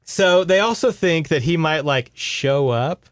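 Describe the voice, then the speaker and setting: A man's voice: a little high, expressive, unhurried, no room sound, studio quality. A man with an American accent chatting casually and wryly as he recaps a book as a podcast co-host. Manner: wry, informal, sarcastic.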